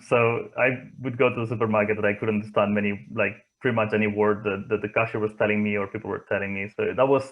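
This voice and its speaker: Male interviewee, Spanish-accented English, low register, thoughtful and halting, reflecting on his career. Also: narrow, tinny audio. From Barcelona area, Spain. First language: not English, from Spain. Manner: hesitant, thoughtful, pausing often. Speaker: interview guest, motorsport engineer.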